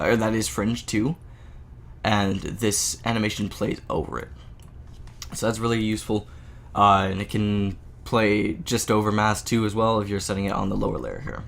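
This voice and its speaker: A man with a sick, slightly off voice, casually walking through a software tutorial with lots of filler words. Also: lowish voice. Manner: casual, many "uh" and "there" fillers. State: sick, voice a bit off. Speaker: game engine tutorial maker. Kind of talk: screen-recorded software tutorial.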